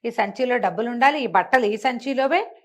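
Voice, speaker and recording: A woman's voice: on the high side, normal speed, close to an everyday voice. A woman storyteller voicing an indignant man who accuses and demands, repeating his claims insistently. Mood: indignant accusation.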